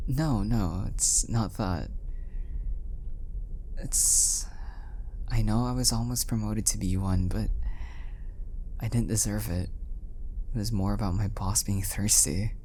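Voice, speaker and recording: Guy doing a adorkable sorry husband for audio rp, soft n mellow, half whispery, unsure of himself, some noise behind. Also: on the low side, fairly even intonation, slow pace, pauses often. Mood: shy, affectionate. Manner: soft, hesitant, self-deprecating, often breathy and half-whispered. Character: adorkable, oblivious, apologetic doting young husband. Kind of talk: intimate roleplay audio acting.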